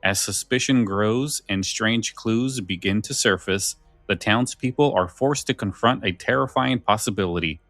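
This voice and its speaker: A man co-hosting a podcast, moving between casual chat and read-out story summaries, sometimes halting in his phrasing. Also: on the low side, a bit bright, no room sound, soft background music. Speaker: podcast co-host.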